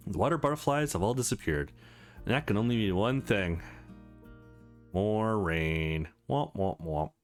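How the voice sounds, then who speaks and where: A man's voice: lowish voice, expressive, unhurried. A man hosting a visual novel playthrough, reading the story aloud and adding casual, chatty commentary, with music behind him. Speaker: gaming channel host.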